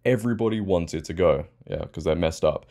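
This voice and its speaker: Male, deep pitch, dark mellow tone, news commentator, opinionated, emphatic delivery. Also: a little fast. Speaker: online news commentator and host.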